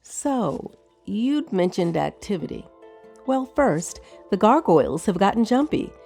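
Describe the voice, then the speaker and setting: A woman playing a brisk, businesslike wizard who owns a tower, in a fantasy audio drama. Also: medium pitch, big pitch swings, creaky, darkish tone, faint music behind, full character voice. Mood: brisk, matter-of-fact.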